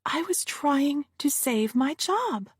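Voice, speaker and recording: A woman's voice: high voice, expressive, slow pace, no room sound, clean studio sound, voice pushed up. A woman voicing a young female witness with a Southern California accent, answering in short, defensive replies.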